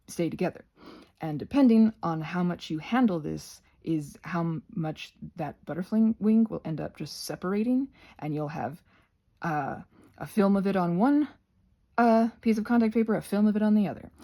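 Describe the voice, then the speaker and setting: A woman's voice: fairly low pitch, gravelly, mellow. A woman giving a casual, rambling craft tutorial, often interrupting herself with playful asides.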